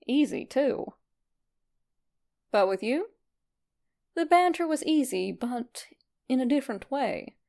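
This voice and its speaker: A woman reading fan fiction aloud as narrator, in an expressive scripted storytelling style. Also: on the high side.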